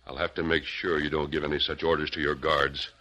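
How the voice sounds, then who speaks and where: An actor playing a steady masked male Western hero who speaks calmly and firmly in a 1940s radio drama. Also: rumbling low, brisk. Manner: calm, firm and commanding.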